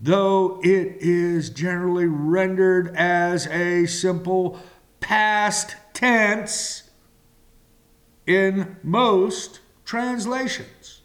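Very slow-speaking male preacher. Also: high-pitched, fairly even intonation, fairly bright tone. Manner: slow and deliberate, with long pauses. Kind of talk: slow communion sermon.

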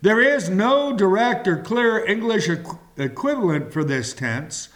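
A man preaching a communion sermon slowly and deliberately, pausing between phrases. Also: high voice, animated, a bit bright. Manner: slow and deliberate, with long pauses.

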